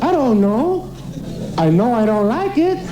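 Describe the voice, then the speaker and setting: Comedian playing a fussy little boy, high-pitched, whining, defiant, swooping intonation, stand-up bit, noisy old cassette. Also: sing-song, measured pace, reverberant, full character voice. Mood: whiny, stubborn. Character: whiny, stubborn, fussy-eater little boy at the table. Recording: noisy worn home cassette of radio broadcast.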